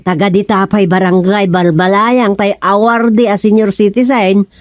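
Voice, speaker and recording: A woman's voice: mid-range voice, steady tone, normal speed, dry recording, studio quality, close to an everyday voice. A woman with an Ilocano accent playing a chatty, eager wife in a radio comedy drama.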